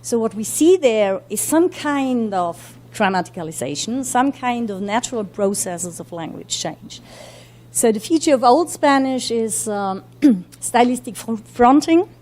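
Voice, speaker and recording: Fast-talking woman lecturing with many fillers. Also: on the high side, animated, darkish tone. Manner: talks quickly with many fillers and self-corrections. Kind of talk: academic lecture. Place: university lecture room.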